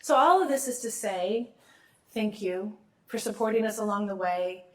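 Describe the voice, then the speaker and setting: A woman's voice: mid-range voice, normal speed. A middle-aged woman, a law school dean, gives a formal awards speech while losing her voice, with small restarts and asides.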